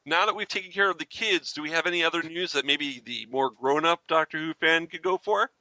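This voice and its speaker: A man's voice: a little high, a little fast, bright, forceful tone, no echo, low-quality recording. A man hosting a casual fan podcast, chatting with co-hosts. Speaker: podcast host.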